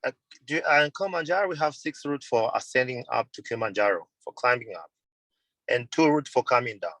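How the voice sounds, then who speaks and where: A man's voice: mid-range voice, animated, a little fast, a bit bright, no echo. Earnest man from Tanzania, dry sound. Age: 30s. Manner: earnest, repeats and corrects himself, simple non-native phrasing. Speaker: mountain guide and tour company owner. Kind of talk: webinar interview answers. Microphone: video call.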